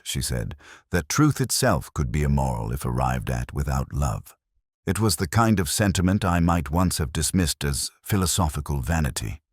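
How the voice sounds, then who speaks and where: A man voicing a weary middle-aged Oxford detective, narrating in first person in a measured, reflective way. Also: very deep voice, husky, dry recording, clean studio sound, heavily acted. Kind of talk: scripted audio drama narration.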